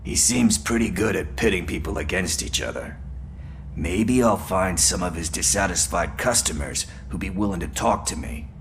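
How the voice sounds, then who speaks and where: A man's voice: sits low, lively intonation, normal speed, slight background noise. An actor playing a wry, easygoing male street informant in a video game, with a playful, theatrical manner. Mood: wry, friendly.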